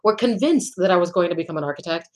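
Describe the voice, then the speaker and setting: A middle-aged woman from Puerto Rico speaks in a fairly low voice, answering questions with thought and care. She often restarts her phrases and laughs lightly between ideas. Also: animated, fast, a little mellow. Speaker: guest architect. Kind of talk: conversational interview answers.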